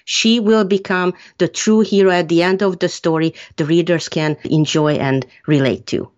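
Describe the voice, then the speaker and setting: Woman from Hungary, lowish voice, measured earnest author answers, muffled lo-fi recording. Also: steady tone, darkish tone. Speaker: author being interviewed. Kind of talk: prepared interview answers. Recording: muffled lo-fi sound.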